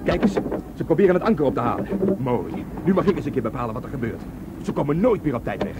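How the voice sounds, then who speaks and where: A man's voice: mid-range voice, sing-song, fast, close to an everyday voice. A man playing a bold, reckless young-spirited policeman in a radio drama, eager and decisive. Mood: eager, confident. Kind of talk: Dutch radio drama dialogue. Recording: noisy radio drama with boat sounds.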